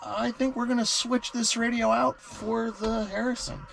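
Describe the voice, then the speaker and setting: A man's voice: extremely high pitch, level tone, normal speed, vocal fry, fairly bright tone, slight background noise. A middle-aged man with an American accent, talking conversationally and explaining things to camera as the host of a hobby vlog. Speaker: radio hobbyist video host.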